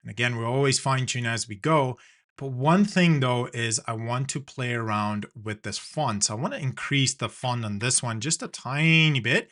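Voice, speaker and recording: A man from South Africa hosts a software design tutorial, explaining each click in a relaxed, step-by-step way. His voice is bright and forward, at an ordinary middle pitch. It sounds like a desk-mic voice-over. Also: moderate pace. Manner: relaxed step-by-step narration with fillers. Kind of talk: screen-recorded software tutorial.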